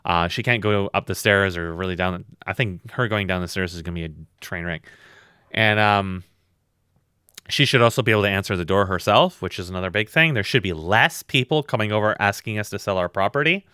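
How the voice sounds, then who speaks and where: A tired man with a loud voice, co-hosting a podcast in a blunt, rambling, swearing chat. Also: on the low side, brisk, smooth, no echo, studio quality. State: very tired from lack of sleep. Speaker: podcast co-host.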